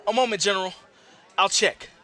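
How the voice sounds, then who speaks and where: A man playing a brisk, suspicious military captain in an audio drama, reporting in a clipped, formal way. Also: sits high, sharp tone, a faint crowd. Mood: dutiful, urgent. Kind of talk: scripted audio drama acting.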